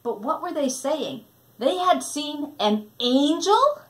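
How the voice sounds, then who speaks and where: A woman's voice: sits high, big pitch swings, slow pace, fairly bright tone. A woman narrating a children's storybook with dramatic expression, shaping each phrase for tender or suspenseful effect. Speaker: storybook reader.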